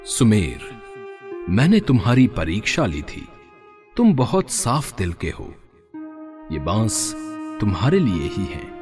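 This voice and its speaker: A man playing a benevolent male deity in a cartoon moral tale. He is solemn and gentle, taking his time over each phrase with expressive pitch movement, music playing softly behind. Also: takes it slowly, long pauses, full character voice. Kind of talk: Hindi mythological moral story voice acting.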